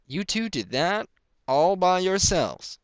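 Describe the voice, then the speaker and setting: A man reads as a country pony father in a storybook, clear and pushed up in pitch. He is gentle and good-humored, talking to his children in a folksy, fatherly way. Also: big pitch swings, slow pace, no echo, exaggerated voice. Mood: proud.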